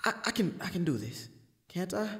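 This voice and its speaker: An actor playing a nervous, earnest and wordy young deputy in a Western audio play. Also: male voice, high-pitched, big pitch swings, a little fast, a bit bright, breathy edge, no room sound, studio quality, full character voice. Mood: shaken, panicky. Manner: hesitant, stammering.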